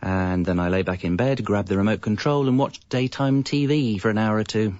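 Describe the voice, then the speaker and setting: A man's voice: lowish voice, steady tone, moderate pace, darkish tone, natural voice. An actor playing a lazy, evasive composer, narrating his own life in a dry, self-justifying way for a radio comedy. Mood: wry narration. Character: lazy, evasive slacker jingle composer telling his story. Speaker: narrator and lead character. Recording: slightly muffled radio recording.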